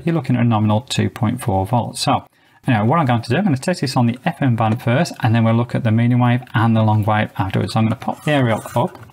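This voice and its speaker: Guy reviewing a little radio, quick talker, bit gravelly, explains everything step by step. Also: mid-range voice, darkish tone. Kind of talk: product review walkthrough. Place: indoors.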